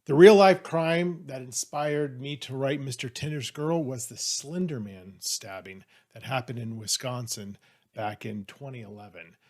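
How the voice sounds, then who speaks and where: A man with a middling pitch talks to viewers as an author. His delivery is casual and off the cuff as he presents a trivia question. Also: measured pace, a little mellow. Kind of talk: casual, off-the-cuff talk to camera.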